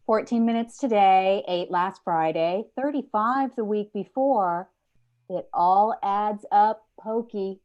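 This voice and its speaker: A woman's voice: medium pitch, takes it slowly, close to an everyday voice. A woman playing a sarcastic, insulting sitcom receptionist with a pointed manner, over a lo-fi video call. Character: Amy, overly sarcastic, insulting female receptionist. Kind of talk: sitcom table read.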